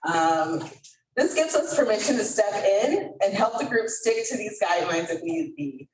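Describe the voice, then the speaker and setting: A woman co-facilitating an event, presenting discussion guidelines to a group in a measured, deliberate way. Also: on the high side. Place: indoor event room with online participants. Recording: echoey room, distant mic, faint noise.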